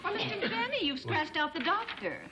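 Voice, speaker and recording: A woman's voice: very high-pitched, sing-song, a little fast, pushed and bright, full character voice. A woman playing a courteous, efficient office secretary in a 1950s television sitcom. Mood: brisk, polite. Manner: polite, efficient. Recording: vintage TV soundtrack, faint noise.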